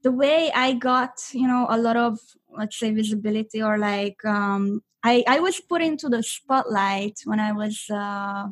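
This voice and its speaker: A woman's voice: on the high side, measured pace. A young woman from Hungary, a native Hungarian speaker, chatting as an interview guest with many fillers and restarts. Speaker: guest affiliate marketer. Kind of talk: interview answers.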